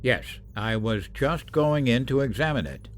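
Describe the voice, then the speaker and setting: A middle-aged professor and protective father in a radio play, acted by a man. He has a medium-pitched voice and an earnest, measured way of speaking, stern with an anxious edge. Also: animated, a little hiss or hum, natural voice. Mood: firm. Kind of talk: radio drama acting.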